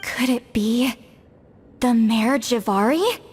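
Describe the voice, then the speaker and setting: A woman playing a wise, unhurried granny in a video game, telling things in a measured, knowing way. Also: a little high, breathy edge. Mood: storytelling, measured. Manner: measured, storytelling.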